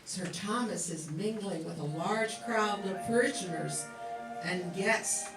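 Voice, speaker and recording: A woman's voice: mid-range voice, takes it slowly. A woman reading scripted narration as the announcer of a radio play. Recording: roomy, distant, under loud music.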